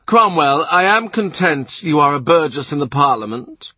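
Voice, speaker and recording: A man's voice: fairly high pitch, expressive, moderate pace, breathy edge, dry recording, pitched above the performer's own voice, heavily acted. A man doing a blustering, hot-tempered duke. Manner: loud, abrupt, scornful outbursts. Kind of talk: audiobook character reading.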